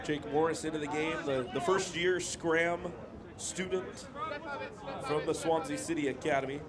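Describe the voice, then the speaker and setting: A man's voice: fairly high pitch, normal speed. A man from the United States with an American accent, giving live football commentary. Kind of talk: live play-by-play sports commentary. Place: outdoors at a football ground. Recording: outdoors with loud crowd noise. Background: loud crowd.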